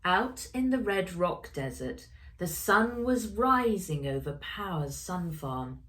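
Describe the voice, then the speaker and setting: A woman's voice: fairly low pitch, very animated, slow pace, a bit bright. A woman narrating her own children's story expressively, speaking directly to young listeners. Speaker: author reading own story.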